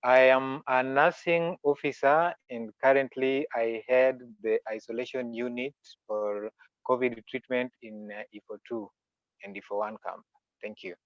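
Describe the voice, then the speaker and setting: A man's voice: medium pitch, fairly even intonation, a little slow, no echo, lo-fi sound. A Kenyan man working as a nurse, giving a formal self-introduction. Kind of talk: brief self-introduction on a call.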